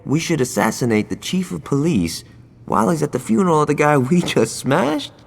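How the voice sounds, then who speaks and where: A man's voice: on the high side, sing-song, a little fast, creaky, heavily acted. An actor playing a hot-headed, trigger-happy male gangster in a video game, brash and sarcastic, in an echoing space. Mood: sardonic, eager. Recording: long echoing hall reverb.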